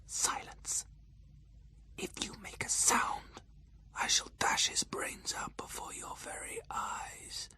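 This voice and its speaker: A man voicing an ancient vampire count, mocking and predatory, in a slow, sneering whisper for a gothic audio drama. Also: pushed and bright. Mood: menacing.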